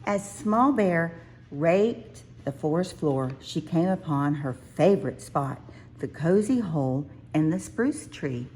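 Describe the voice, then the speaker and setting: A woman reads a children's storybook aloud. Her pace is slow and deliberate, and her voice sits a little low. She phrases the narration expressively. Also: animated, a little mellow, slight background noise. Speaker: children's book reader.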